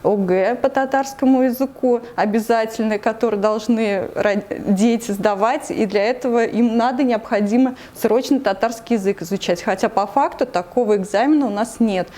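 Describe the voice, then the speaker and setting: A woman with a Tatarstan Russian accent, answering news interview questions in a measured way with frequent hesitations. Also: a little high, dark tone. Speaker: anti-corruption committee member, interview guest.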